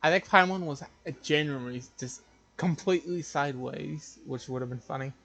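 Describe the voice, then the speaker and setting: A man with a voice a little higher than most streams a video game. His commentary is casual and live, tossed off as he watches. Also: normal speed, vocal fry, fairly bright tone.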